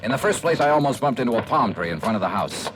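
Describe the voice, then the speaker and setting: An actor playing a quick, wry insurance investigator in a 1950s-style radio detective drama. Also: male voice, mid-range voice, very animated, close to an everyday voice. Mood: wry narration. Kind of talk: 1950s radio drama acting with first-person narration. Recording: noisy old radio recording, clicks.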